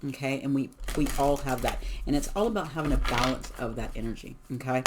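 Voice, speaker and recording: Hoarse, chatty card reader giving a casual collective reading. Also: mid-range voice, fast, background noise. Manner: chatty, emphatic, encouraging, many fillers. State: hoarse, losing voice. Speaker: tarot and oracle card reader.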